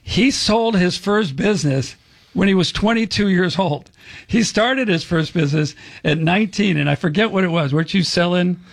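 A man's voice: sits high, moderate pace, gravelly. A middle-aged man hosting a radio show, chatting in a jokey, effusive way.

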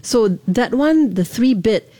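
A woman's voice: a little high, lively intonation, takes it slowly, dark tone, dry recording. A woman hosting an interview, chatty and affirming, asking long questions.

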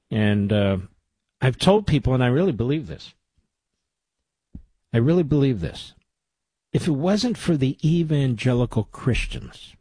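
A male talk radio host with a husky, slightly breathy voice, recorded dry and close. He argues emphatically and doubles up his phrases for stress. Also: medium pitch, warm tone, dry recording.

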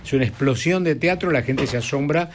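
A man's voice: fairly high pitch, fairly quick, a little hiss or hum. A man with an Argentine Rioplatense accent hosting radio, chatting expressively between interview and music commentary. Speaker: radio host.

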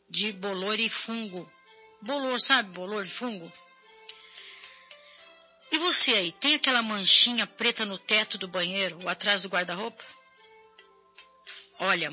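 Hoarse, bright-voiced Brazilian woman host. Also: a little high, highs cut off. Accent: Brazilian Portuguese. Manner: chatty, addresses viewers directly. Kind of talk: casual talk show, reading viewer messages.

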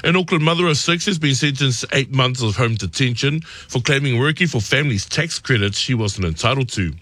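A man reading a radio news bulletin in a measured way and chatting as the show's producer. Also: medium pitch, animated, fairly bright tone, no room sound. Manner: measured news delivery, friendly banter. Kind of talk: radio news bulletin and casual studio chat. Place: radio studio.

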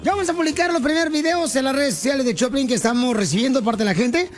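A man hosting a radio show, announcing a giveaway in a loud, hyped-up manner. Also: very high-pitched, quick pace, faint music behind.

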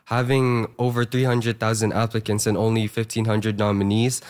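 A hoarse young man, a fresh high school graduate, talking earnestly with many fillers as a radio interview guest. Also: flat delivery, normal speed. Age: young adult.

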